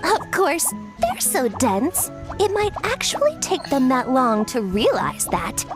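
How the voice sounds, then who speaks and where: Pompous, scornful talking mushroom. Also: female voice, high voice, big pitch swings, slow, exaggerated voice. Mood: smug, scornful. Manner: pompous, theatrical, condescending. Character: pompous mock-wise talking mushroom, scornful riddle keeper. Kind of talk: video game voice acting. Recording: loud background music. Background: game music.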